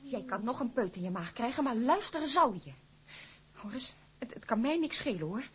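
A woman's voice: on the high side, lively intonation, fairly quick, natural voice. Young wife in a radio play, fretful and scolding, voice leaping all over, played by a woman. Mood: upset. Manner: pleading and scolding, emotional. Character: young wife of a sailor, worried, sharp-tongued.

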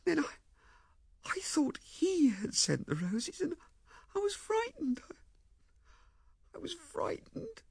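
A man voicing a timid, pleading young wife with a halting, breathy, tearful delivery in an audiobook. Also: extremely high pitch, huge pitch swings, a little mellow, no echo, clean studio sound, pitched above the performer's own voice, exaggerated voice. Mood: tearful, frightened. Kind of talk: audiobook character acting.

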